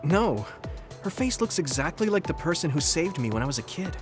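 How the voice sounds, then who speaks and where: An earnest young soldier from an anime game, speaks with a lively, swinging pitch. He is kind and sincere, easily flustered and hesitant, over a music bed. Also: brisk. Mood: friendly, sincere.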